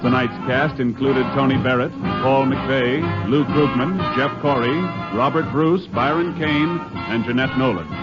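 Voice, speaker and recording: Formal 1950s American radio announcer. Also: male voice, mid-range voice. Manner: crisp, formal and measured. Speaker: show announcer. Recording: dull old radio broadcast over loud music.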